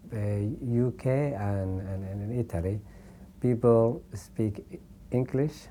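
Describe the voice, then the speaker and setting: Deliberate man, warm low voice. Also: a little hiss or hum. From Somalia. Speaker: documentary interviewee. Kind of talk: explaining to camera.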